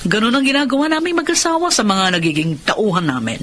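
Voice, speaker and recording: A woman's voice: fairly high pitch, very animated, fast, fairly bright tone, slight background noise. A woman playing a kindly, authoritative married lady lawyer in an audio drama, reassuring and inquisitive, motherly but firm. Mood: friendly, businesslike. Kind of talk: Tagalog radio-style drama acting.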